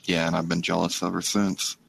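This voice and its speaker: A man with a low voice chats casually as a podcast co-host. His delivery is informal gamer talk, well below the usual male pitch. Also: little pitch movement, fairly quick, gravelly, fairly bright tone, husky, no echo. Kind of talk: casual chat about gaming.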